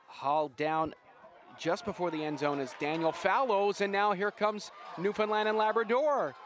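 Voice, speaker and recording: A man doing live, excitable play-by-play commentary on a rugby sevens match. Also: sits high, slight background noise. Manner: excitable, builds to shouts at big plays.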